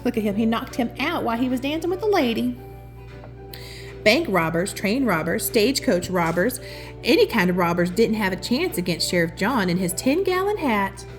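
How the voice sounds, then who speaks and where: A woman reads a children's picture book aloud. Her voice is on the high side and swings expressively, with affectionate, chatty asides to her listener. Soft music plays behind her. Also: animated, moderate pace. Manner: affectionate, addresses the listener as sweetheart. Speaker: children's storybook reader and host.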